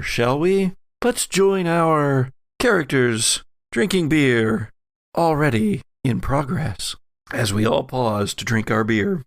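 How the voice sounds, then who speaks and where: A man's voice: medium pitch, creaky, no room sound, clean studio sound. A man hosting a podcast, chatting casually and narrating a tabletop game as game master.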